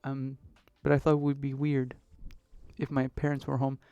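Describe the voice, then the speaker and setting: A young man streaming from his bedroom at home, chatting casually and rambling a bit, his voice still hoarse from being sick. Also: medium pitch, monotone, moderate pace, mellow, no room sound, lo-fi sound. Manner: rambling, chatty, laughs easily. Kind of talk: casual live-stream chat.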